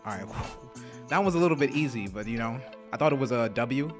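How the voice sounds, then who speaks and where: A man emcees an open mic night in a small indoor venue, talking into a stage mic. He chats loosely, with quick apologetic asides between introductions. Music plays clearly behind him. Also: medium pitch, lively intonation. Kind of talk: casual stage hosting.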